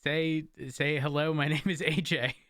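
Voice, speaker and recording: A man's voice: fairly high pitch, little pitch movement, moderate pace, a bit bright, no echo, clean studio sound. A man bantering casually in game roleplay, teasing and asking questions. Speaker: player in online roleplay.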